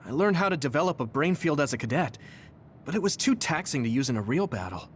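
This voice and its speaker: Young male game hero: earnest, determined, fairly high and very expressive. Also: fast talker, a little hiss or hum. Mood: grave. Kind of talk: video game cutscene voice acting. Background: music.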